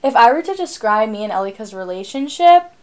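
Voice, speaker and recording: Teen girl cohosting a podcast, kinda high voice, rambly and giggly. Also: fairly quick. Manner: animated, laughing, rambling. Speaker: podcast co-host. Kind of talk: casual chat.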